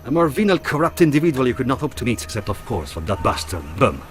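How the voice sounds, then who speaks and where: An actor playing a bitter, vengeful partisan in a video game, emphatic and full of contempt. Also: male voice, mid-range voice, very animated, fast, a little hiss or hum, natural voice. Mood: contemptuous, vengeful. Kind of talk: video game cutscene acting.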